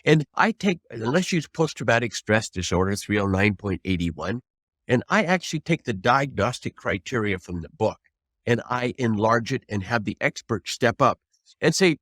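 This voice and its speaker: A man's voice: mid-range voice, lively intonation, moderate pace, fairly bright tone, no echo. An older man, a trial lawyer, giving advice as an interview guest in an earnest, emphatic, folksy way with rhetorical questions.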